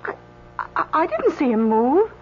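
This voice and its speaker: An actress playing a timid, anxious woman in a radio drama, halting and pleading. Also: high-pitched, wildly swooping pitch, a little hiss or hum. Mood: anxious, hesitant. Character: anxious, timid woman who witnessed an accident. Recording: muffled, slightly humming radio recording.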